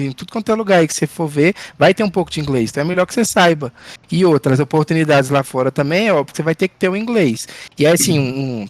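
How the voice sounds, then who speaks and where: Brazilian male guest, slightly high voice, dry close mic, relaxed friendly advice, chatty. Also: dry recording. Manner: relaxed, friendly advice with many "sabe?" tags. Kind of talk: casual interview chat.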